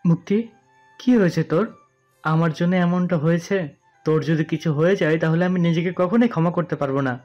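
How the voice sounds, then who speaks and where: A male actor voices a distraught young man devoted to his love. He beseeches with remorse and heavy emotion in audio story style. The sound is a little dull, with soft music behind. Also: a little high, fairly quick, lightly acted. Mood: remorseful pleading. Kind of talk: Bengali audio story acting.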